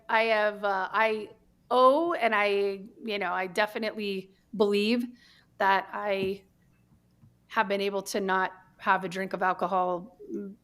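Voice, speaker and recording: An American woman from New Mexico telling her personal story candidly in conversation, earnest and halting, repeating words for emphasis. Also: mid-range voice, a bit bright. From New Mexico, United States.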